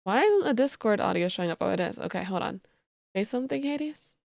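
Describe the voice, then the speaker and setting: A woman's voice: medium pitch, expressive, brisk, gravelly, a little mellow, no echo, clean studio sound. A woman streaming live who says she just woke up and has a sleepy voice, chatting casually and thanking her viewers.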